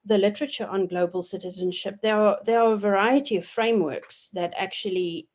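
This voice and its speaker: A woman in her forties from South Africa, a professor giving measured, reflective answers in an interview, often pausing with ums and restarting her sentences. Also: medium pitch, fairly even intonation, darkish tone. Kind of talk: thoughtful academic interview answers.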